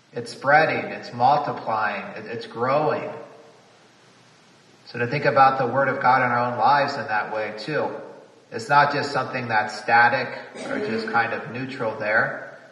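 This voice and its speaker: A man's voice: mid-range voice, measured pace, pushed and bright, echoey room, big-room sound, recorded from a distance, rough recording. A man, a priest, preaching a reflective homily at a retreat, repeating phrases for emphasis.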